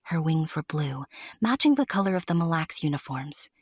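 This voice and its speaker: Low, very husky woman narrator. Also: very animated, fairly quick, a little mellow, no room sound, clean studio sound. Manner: conversational asides to the listener. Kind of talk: scripted storytelling narration. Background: music.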